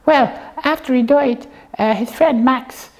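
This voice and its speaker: A woman's voice: high-pitched, a little slow, a little mellow. A woman presenting a puppet show in casual comic chat, explaining things in a rambling, hesitant way.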